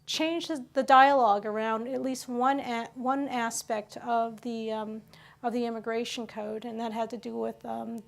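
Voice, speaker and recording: Woman, local news host, slightly high voice, conversational, filler-heavy. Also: fairly even intonation, moderate pace, vocal fry.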